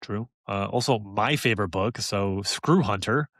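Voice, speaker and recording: A man's voice: lowish voice, measured pace, fairly bright tone, dry recording. A man co-hosts a podcast in a loose, conversational way. His delivery is informal and full of joking banter, like someone chatting with a close friend. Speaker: podcast host. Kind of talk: casual podcast chat.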